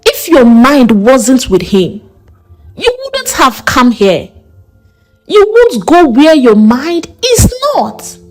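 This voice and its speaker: A woman voicing a warm, reassuring and direct middle-aged mother in a folktale, coaxing and motherly. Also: high voice, heavily acted.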